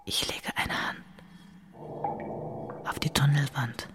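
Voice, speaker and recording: An actress playing a woman narrating a dark, trancelike inner monologue in hushed, breathy, deliberate short phrases. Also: extremely low pitch, steady tone, in a whisper, full character voice. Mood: hushed, eerie. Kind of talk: intimate audio drama monologue.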